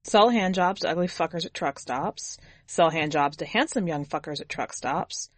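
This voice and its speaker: A woman's voice: low-pitched, animated, normal speed, no room sound. A woman narrating in the first person as a jaded, blunt twenty-year-old drifter, wry and foul-mouthed, in an audiobook story.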